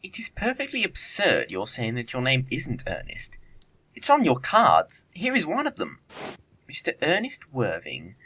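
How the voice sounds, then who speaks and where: A man acting a witty, teasing young English gentleman in an audio play of a period comedy, with an arch, theatrical delivery. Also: mid-range voice, wildly swooping pitch, a little slow, slightly rough, heavily acted. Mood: triumphant, teasing.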